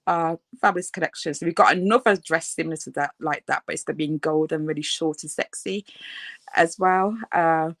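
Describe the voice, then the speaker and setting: A woman in her thirties with a Birmingham accent talks through her designs over a remote call. Her voice sits low and sounds dry and close. She chats loosely, laughing often and circling back with ums and restarts. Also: a little fast, a bit bright, no echo. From England. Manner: chatty, laughs often, many fillers and restarts. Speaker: fashion designer guest. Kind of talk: casual interview.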